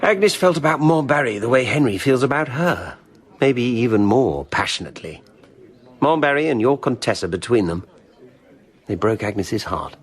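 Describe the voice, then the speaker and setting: A man playing a genial, gossipy Victorian club gentleman in a period radio drama, with clipped upper-class phrasing. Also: medium pitch, sing-song, moderate pace, breathy edge. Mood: confiding.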